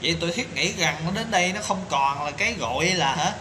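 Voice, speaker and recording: A man's voice: fairly high pitch, lively intonation, a little fast. A man in his thirties speaking an indignant opinion monologue to camera, full of rhetorical questions and fillers, filmed on a phone in a small tiled room. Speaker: commentator. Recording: echoey tiled room with background noise.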